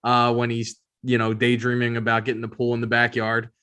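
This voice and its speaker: A man's voice: medium pitch, completely flat delivery, fairly quick, fairly bright tone. An American man co-hosting a podcast in casual, conversational chat. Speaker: podcast co-host.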